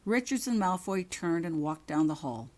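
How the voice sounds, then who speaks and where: A woman reading her own fiction aloud as expressive audiobook-style narration. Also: on the low side, normal speed. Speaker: author reading own story.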